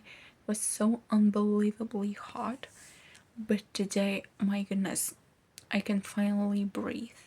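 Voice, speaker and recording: A woman's voice: mid-range voice, a little mellow, slightly rough. A woman, a student vlogger chatting casually in a rambling, informal way. is her language. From Poland. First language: Polish. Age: early 20s. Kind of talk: casual chat.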